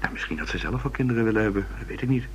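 An actor playing a young male student in a radio drama, a helpful witness who speaks haltingly. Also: on the low side, expressive, close to an everyday voice. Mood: hesitant, eager. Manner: hesitant, halting. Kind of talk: Dutch radio drama dialogue. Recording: slightly noisy old radio recording.

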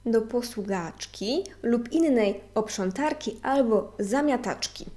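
A woman narrator giving a measured, scripted reading of an old ethnographic text. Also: fairly high pitch, animated, mellow.

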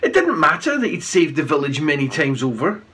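A middle-aged man reads a children's story aloud. His voice is fairly high and bright with a husky edge, and he narrates in an animated storytelling style. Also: brisk. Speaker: children's author reading aloud.

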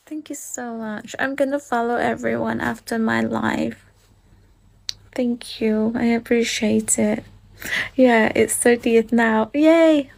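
A woman's voice: on the high side, steady tone, slow pace, gravelly, a little mellow. A young woman from the Philippines with a Filipino accent, live-streaming from her phone indoors, gushing and giggling as she thanks viewers. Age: young adult. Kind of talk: casual live-stream chat reading comments. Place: indoor room. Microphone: phone held close at arm's length.

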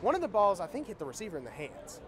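A young man talks fast and briskly in a slightly high voice, leading a postgame recap and tossing questions to his co-host. He is outdoors in a large stadium at night on a lapel mic. A crowd murmurs behind him. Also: wildly swooping pitch. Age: young adult. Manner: brisk, leads the talk and poses questions. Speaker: co-host and sports editor. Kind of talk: postgame sports recap chat.

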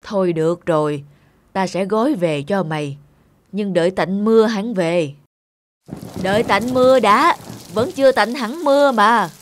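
A woman playing a kindly, compassionate elderly grandmother in an animated tale, gentle and caring. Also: mid-range voice, unhurried, natural voice. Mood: coaxing. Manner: gentle, caring, musing aloud. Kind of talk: animated moral tale dubbing.

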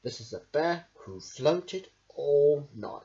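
A young adult reading children's picture books aloud in an emphatic, deliberate way. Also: medium pitch, animated, noisy background. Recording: dull lo-fi camera sound.

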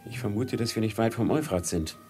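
An actor playing a proud, anxious captive merchant in a 1950s radio drama, speaking gravely and earnestly. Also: male voice, fairly low pitch, brisk, dark tone, soft background music, lightly acted. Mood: grave, brooding.

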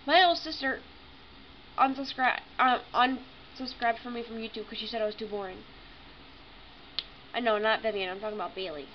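A woman's voice: on the high side, moderate pace, pauses often. A young woman with a stuffy nose chatting casually and apologetically while playing a game. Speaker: gaming YouTuber. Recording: lo-fi home recording, noisy background.

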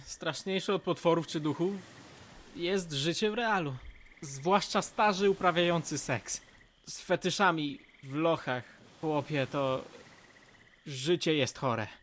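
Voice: mid-range voice, unhurried, a little hiss or hum, close to an everyday voice. An actor voicing a chatty, slangy streetwise kid eager to join the gang, in a cartoon fan dub. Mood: philosophical. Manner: slangy, chummy. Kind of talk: animated series fan dub.

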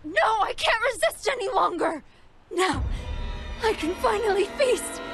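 A very high, strained female android villain in an anime game. She is ravenous and unhinged, dragging out her commands with menace over loud music. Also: big pitch swings, measured pace, exaggerated voice. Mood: menacing, hungry.

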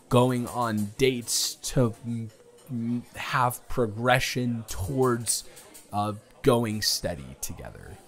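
A young man playing a shy, smitten date in an improvised romantic audio roleplay, hesitant and stammering, both teasing and earnest. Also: lowish voice. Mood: nervous, earnest.

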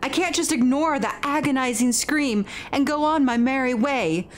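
Woman doing anxious game schoolteacher lady, higher voice, emotional, faint noise behind. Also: moderate pace, lightly acted. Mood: distressed insistence. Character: anxious, determined female schoolteacher. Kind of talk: reading horror game dialogue aloud.

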